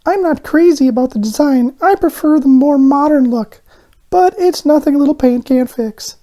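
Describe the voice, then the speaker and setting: A man voicing an excitable, naive little talking doughnut from a children's storybook, with an earnest, exclamatory manner. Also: very high-pitched, normal speed, pitched above the performer's own voice. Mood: pleased.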